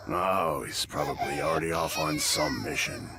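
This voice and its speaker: An actor voicing a gruff, casually callous male alien warrior in a game, with an offhand manner. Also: a bass voice, normal speed. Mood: offhand, amused. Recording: loud background noise.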